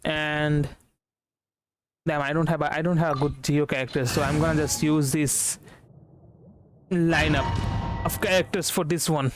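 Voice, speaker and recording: A man's voice: on the high side, steady tone, measured pace, long pauses, noisy background. A man giving casual, sleepy, rambling live commentary as he streams a game. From India.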